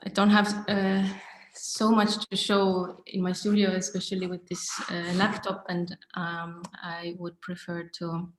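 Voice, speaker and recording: A woman with an Estonian accent speaks in a roomy, echoing home studio. Her voice sits a little low and stays very even in pitch. She sounds thoughtful and slightly hesitant, as in an informal online conversation. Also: measured pace, a little hiss or hum. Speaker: artist being interviewed. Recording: echoey, roomy laptop sound.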